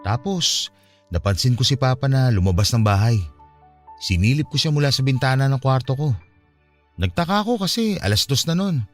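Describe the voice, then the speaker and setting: A man's voice: medium pitch, expressive, normal speed, close to an everyday voice. A man acting an earnest young man who confides a painful family past in a conversational radio drama style. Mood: confiding, recounting. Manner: conversational, confessional. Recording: music behind the voice.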